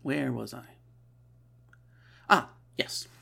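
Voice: medium pitch, very slow, gravelly, pushed and bright, husky. A podcast host with a stuffy, nasal voice, recapping a story in a casual way with wry, sarcastic asides. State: stuffy nose.

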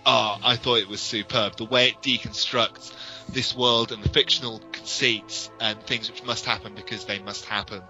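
A man's voice: on the low side, steady tone, normal speed, sharp tone, soft background music, low-quality recording. A man co-hosting a podcast, giving detailed, bookish and opinionated reviews in an informal but partly prepared style. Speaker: podcast co-host. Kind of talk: informal review talk with prepared history segments.

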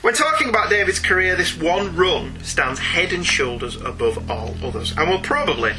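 A man's voice: high voice, animated, moderate pace, over music, low-quality recording. A man hosting a podcast, reading out a scripted review in a narrator's style. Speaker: podcast host.

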